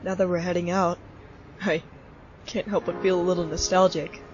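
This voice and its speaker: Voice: medium pitch, level tone, moderate pace, slight background noise, close to an everyday voice. Easygoing, joking skeleton played by an actor, lo-fi. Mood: wistful. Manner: casual, easygoing, punning. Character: laid-back, joking male skeleton monster, fond older brother. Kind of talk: comic dub acting. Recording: dull lo-fi audio with faint music.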